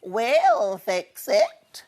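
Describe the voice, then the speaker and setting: A woman voicing a helpful, unhurried sheep in a children's storybook, drawing words out reassuringly. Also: mid-range voice, big pitch swings, a little mellow, pitched above the performer's own voice.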